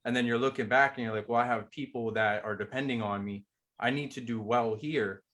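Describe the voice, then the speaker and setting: A man joining a coaching call, talking in a measured, reflective way and often slowing down to make a point. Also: mid-range voice, steady tone, a bit bright. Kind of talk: casual group discussion.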